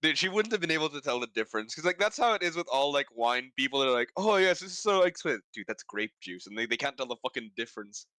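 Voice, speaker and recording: A man's voice: medium pitch, very animated, quick pace, hardly any pauses, sharp tone, no room sound. A man joking casually on a group game stream, playful and theatrical, with some swearing. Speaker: guest on a group livestream.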